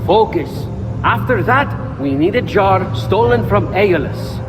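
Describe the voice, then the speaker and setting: An actor playing a quick-witted, unapologetic young male thief in a video game, glib and persuasive. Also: sits high, expressive. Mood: glib, urgent. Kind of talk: video game fantasy acting. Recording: reverberant sound, music behind.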